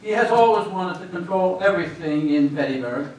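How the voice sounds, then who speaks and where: A man's voice: high-pitched, lively intonation, brisk, darkish tone. A man playing an anxious, suspicious boss in an agitated, dramatic stage reading. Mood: suspicious, indignant. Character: anxious, suspicious male boss, paranoid about rivals. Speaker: amateur stage actor. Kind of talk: readers theater stage performance. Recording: echoey hall, distant mic, faint noise.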